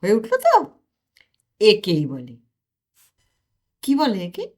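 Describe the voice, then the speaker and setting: A woman's voice: mid-range voice, huge pitch swings, takes it slowly, darkish tone, full character voice. A woman voicing a boastful young man who loves exercise and lectures his friend. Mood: showing off. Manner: lecturing, showing off.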